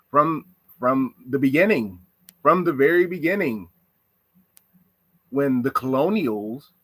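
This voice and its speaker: A man's voice: mid-range voice, a bit bright, no room sound, poor audio. A man from the United States giving a reflective monologue on social issues, asking rhetorical questions. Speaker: commentator.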